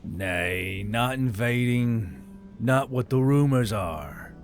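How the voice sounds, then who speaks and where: Guy doing old-timey loyal guard captain, formal, medium pitch. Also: animated, takes it slowly, soft background music. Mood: dutiful. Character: gruff, dutiful bearded male town guard captain.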